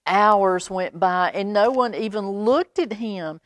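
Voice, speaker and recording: An American woman reading a picture book aloud to young children in an expressive, unhurried way, with pauses and exclaimed asides. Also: mid-range voice, dry recording, clean studio sound. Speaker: storytime reader at a library.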